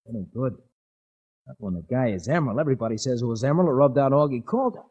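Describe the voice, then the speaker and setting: An actor playing a streetwise, loyal sidekick with a husky voice and slangy talk in 1940s radio drama. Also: male voice, medium pitch, expressive, fast, a little mellow. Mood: worried. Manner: slangy, colloquial. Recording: muffled old radio recording.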